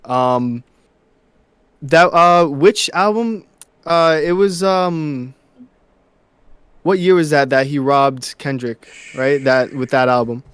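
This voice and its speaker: A young man from New York, a musician guest in a casual interview, talking softly and haltingly with many fillers and restarts. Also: a little high, clean tone, no echo.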